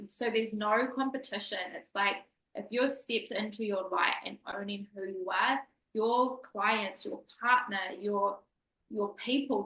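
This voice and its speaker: A woman's voice: medium pitch, bright, forceful tone. A woman podcast host and coach, talking earnestly and emphatically about spiritual growth. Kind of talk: casual interview chat. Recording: echoey room.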